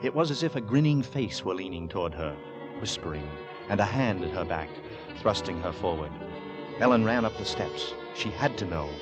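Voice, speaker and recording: The narrator of a vintage radio suspense tale. His voice is low and grave, with a dramatic scripted delivery, and loud music plays beneath it. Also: expressive, moderate pace. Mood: ominous.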